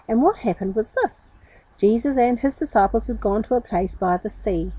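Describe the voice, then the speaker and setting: A woman's voice: mid-range voice, warm tone, slightly rough, low-quality recording. A woman reading a Bible story aloud to children, dramatically and addressing them directly.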